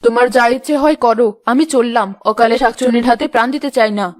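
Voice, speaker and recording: A woman's voice: on the high side, fairly even intonation, fast, dry recording, studio quality, lightly acted. A woman voicing a superstitious, easily frightened village woman in a cartoon, dramatic and fretful. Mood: anxious. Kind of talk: cartoon voice acting.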